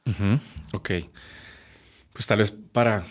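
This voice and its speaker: A man in his thirties speaks with a deep, low voice. He tells his own story slowly and thoughtfully, pausing often with small hesitations. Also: flat delivery. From Colombia. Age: 30s. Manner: reflective, measured, with frequent hesitations. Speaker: podcast guest. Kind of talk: personal testimony in a podcast conversation.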